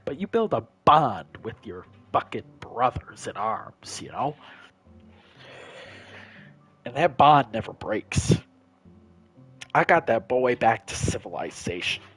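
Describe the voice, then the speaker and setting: A man playing a grizzled, whiskey-drinking army veteran telling a grim wilderness tale in a gruff, profane, dramatic monologue with a low, breathy growl. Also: sing-song, slow pace, long pauses, fairly bright tone, soft background music, full character voice. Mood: solemn, intense. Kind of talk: dramatic first-person monologue.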